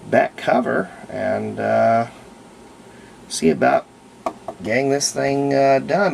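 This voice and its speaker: A man with a gravelly, fry-edged voice talks through a hands-on hobby demonstration. He is casual and unhurried, thinking aloud as he goes. There is faint noise behind him on what sounds like a simple camera recording. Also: medium pitch. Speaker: hobbyist restorer of antique radios, presenter. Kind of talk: casual hands-on demonstration, unscripted running commentary.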